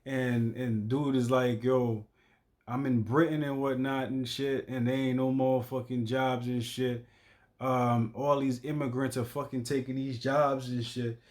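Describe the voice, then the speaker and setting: A man's voice: mid-range voice, level tone, measured pace, a little mellow. A man giving casual, slangy reaction commentary, repeating himself with stammering restarts and frequent swearing. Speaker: reaction video host.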